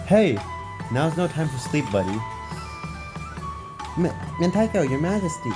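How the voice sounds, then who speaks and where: A man voicing a brash but soft-hearted big-guy fighter, boastful and swaggering, in a hobby read-aloud of a game story. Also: fairly high pitch, big pitch swings, measured pace, over music, voice pushed up. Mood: bold, eager.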